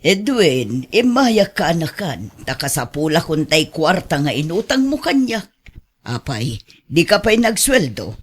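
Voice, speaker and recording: A woman playing a stern aunt demanding repayment in an Ilocano radio drama, husky, with an Ilocano accent. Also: low-pitched, big pitch swings, unhurried, exaggerated voice. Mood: stern, reproachful. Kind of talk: Filipino radio drama acting.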